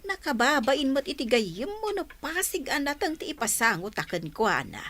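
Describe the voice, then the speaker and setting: A woman's voice: high voice, very animated, normal speed, a bit bright. A woman playing a doting, homely old village grandmother in a radio comedy-drama, recorded with background noise. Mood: fond, chiding.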